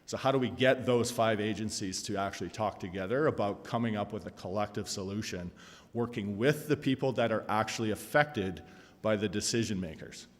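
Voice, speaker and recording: A man in his forties giving a conference panel talk, telling a self-deprecating story with an animated delivery. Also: on the low side, a little fast. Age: 40s. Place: conference hall. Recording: long hall reverb.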